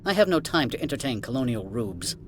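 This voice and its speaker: A man's voice: medium pitch, sing-song, a little fast, a little hiss or hum, close to an everyday voice. Man as a curt, haughty administrator. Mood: impatient, disdainful. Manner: curt, condescending, officious. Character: brusque, haughty, impatient alien male administrator. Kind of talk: video game dialogue.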